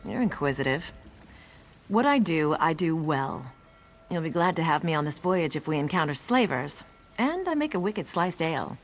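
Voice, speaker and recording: An actress playing a cool, self-assured seafaring adventuress in a video game. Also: female voice, sits low, very animated, normal speed, faint music behind. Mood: guarded, boastful. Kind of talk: video game character dialogue.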